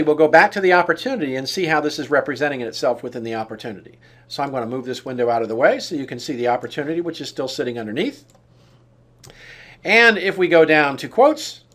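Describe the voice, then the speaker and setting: A man narrating an on-screen software demonstration, explaining each step in turn. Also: on the high side. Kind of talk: walkthrough tutorial narration of on-screen steps.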